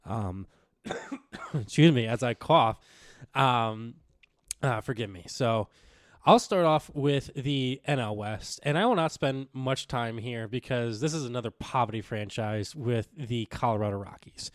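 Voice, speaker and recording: A young man co-hosting a casual sports podcast, slightly raspy from recent illness, rambling with lots of fillers and restarts. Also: mid-range voice, a little slow, no echo, clean studio sound. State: recovering from illness, a bit raspy. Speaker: podcast co-host.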